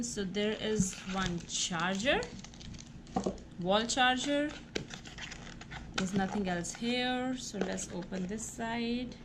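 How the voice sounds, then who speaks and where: Slow-paced woman hosting a noisy unboxing. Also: medium pitch. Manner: exclamatory, narrates each step aloud. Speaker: unboxing video host. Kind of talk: casual unboxing commentary. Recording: clearly noisy home recording with clicks.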